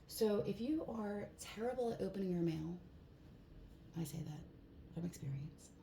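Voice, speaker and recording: A woman with a hoarse voice giving a casual tarot card reading in a relaxed, chatty way, with plenty of fillers. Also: medium pitch, normal speed. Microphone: phone. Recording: echoey room, faint background hiss.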